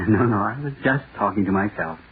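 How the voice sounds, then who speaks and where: An actor playing a nervous, overworked male author in 1940s radio drama, halting and muttering to himself. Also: lowish voice, lightly acted. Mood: weary, wry. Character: jittery, overworked male horror-play author, restless and self-doubting. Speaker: radio playwright dictating a script. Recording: scratchy, noisy, tinny old radio broadcast.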